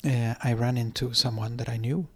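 A middle-aged man speaks in English with a Swedish accent, his voice at an ordinary pitch for a man. He talks as an interview guest, hesitant and thoughtful, filling gaps as he recalls details. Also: steady tone, normal speed, fairly bright tone, husky. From Sweden. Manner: hesitant, many fillers and restarts. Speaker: dance professor, guest. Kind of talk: conversational interview. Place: apartment.

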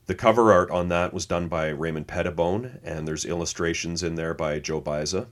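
Deep-voiced male podcast co-host. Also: moderate pace. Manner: relaxed, laughs easily, reads notes aloud. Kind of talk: casual podcast chat.

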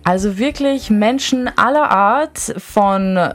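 A woman's voice: medium pitch, slow. A woman giving a conversational report as a reporter in a radio studio.

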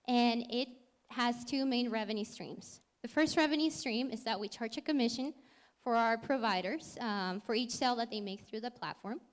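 A young woman from Peru giving an earnest, explanatory startup pitch on stage as the company's founder. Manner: earnest, explanatory, occasional self-correction.

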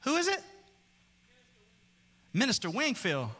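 A male pastor preaching a sermon in a church, chatting casually with the congregation, reading scripture slowly, and climbing higher and louder as he gets worked up. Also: wildly swooping pitch. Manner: reads scripture slowly and deliberately. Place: church sanctuary. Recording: long hall reverb.

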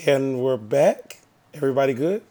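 A man co-hosting a podcast in casual banter, playfully nosy and egging the others on, with a gravelly voice. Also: medium pitch, animated, normal speed, dark tone. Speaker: podcast co-host.

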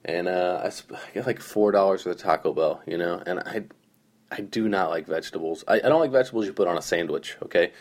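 Young male podcast host, hoarse and groggy, deep voice, rambling, self-deprecating storyteller. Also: quick pace. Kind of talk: casual solo storytelling.

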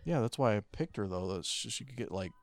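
Gravelly low-voiced man, casual and profane. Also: expressive, fast, no room sound. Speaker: podcast co-host. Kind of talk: casual chat.

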